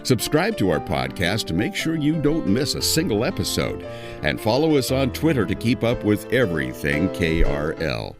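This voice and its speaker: A man's voice: lowish voice, sing-song, moderate pace. A man working as a podcast announcer, reading a scripted outro. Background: plucked guitar music.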